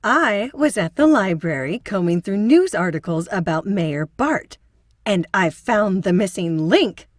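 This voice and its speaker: A woman playing a sharp, determined amateur investigator with a wry, deliberate manner in an audio drama. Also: mid-range voice, expressive, no echo, studio quality. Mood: pleased, explaining.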